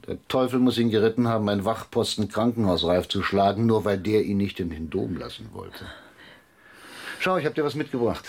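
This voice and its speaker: A man's voice: on the low side, lively intonation, fairly quick, darkish tone. An actor playing a manipulative, teasing man with an ironic, self-satisfied manner in a German radio drama. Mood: smug, taunting.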